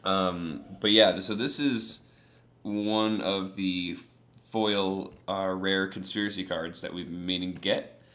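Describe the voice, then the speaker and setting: A young man with a fairly low voice talks through his card pickups in a loose, casual vlog style. He rambles happily, dotting his speech with ums and uhs as he goes. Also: a bit bright. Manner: chatty and enthusiastic, many fillers. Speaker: vlogger showing trading cards.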